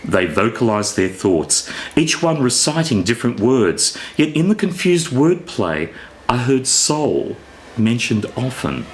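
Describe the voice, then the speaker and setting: A man reads fiction aloud with noticeable background noise. His delivery is theatrical and deliberate, taking its time while the pitch swings expressively through each sentence. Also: big pitch swings. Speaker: author reading from own novella. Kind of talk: expressive scripted story reading.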